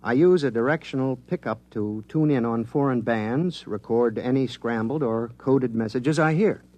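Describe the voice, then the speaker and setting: An actor playing an unassuming military radio decoder who explains technical work plainly, in a 1950s radio drama style. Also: male voice, mid-range voice, normal speed, a little mellow, close to an everyday voice. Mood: explaining. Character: matter-of-fact male military radio decoder, technically minded. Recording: vintage 1950s radio broadcast.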